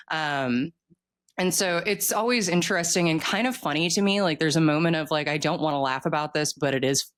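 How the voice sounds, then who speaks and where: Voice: mid-range voice, a bit bright. A middle-aged author in a casual interview, talking at length in a candid, informal and emphatic way.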